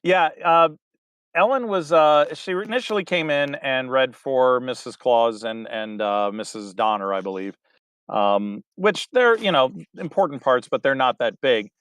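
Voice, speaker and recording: A man with a smooth, resonant voice, recorded dry and close on a call mic. He chats easily as a guest, rambling through his answers with plenty of fillers and restarts. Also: mid-range voice, lively intonation, a little slow, fairly bright tone, no room sound. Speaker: theater director, guest. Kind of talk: casual interview chat.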